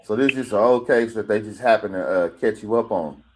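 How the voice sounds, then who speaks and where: A man's voice: fairly low pitch, fast, darkish tone. A man asking questions in a casual interview over a telephone line. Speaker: interviewer on a phone call. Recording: slightly noisy phone call.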